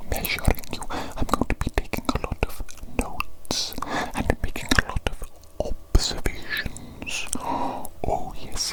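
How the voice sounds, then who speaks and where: Evil doctor for ASMR roleplay, male performer: slow, hushed whisper, fussy and precise yet soothing, with repeated phrases. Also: natural voice, poor audio. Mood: soothing, attentive. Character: evil doctor, male creator of creatures, gentle and fussily precise.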